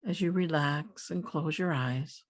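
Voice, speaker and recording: A woman leading a guided meditation as a teacher, speaking calmly in deliberate phrases with pauses. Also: low voice.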